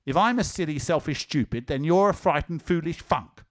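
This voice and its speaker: A man's voice: mid-range voice, big pitch swings, moderate pace, lightly acted. A man voicing a stubborn, sulky little girl who speaks sharply and scornfully. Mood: scornful, defiant.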